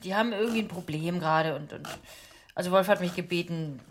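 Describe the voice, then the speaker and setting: An actress playing a tactful, slightly anxious hostess in an improvised German radio comedy drama, talking casually and tentatively while cooking. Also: female voice, on the low side, fast talker, close to an everyday voice. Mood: cautious, tactful. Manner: tentative, hedging, conversational. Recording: clear kitchen clatter noise. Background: kitchen clatter, dishes, clicks.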